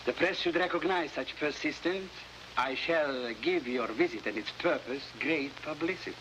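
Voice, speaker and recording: An actor playing a smug, scheming Latin American newspaper publisher in a theatrical, oily 1950s television style. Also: male voice, fairly high pitch, moderate pace, close to an everyday voice. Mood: smooth, gloating. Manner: theatrical, oily, mock-courteous. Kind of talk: early 1950s TV adventure acting. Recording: noisy old 1950s television soundtrack.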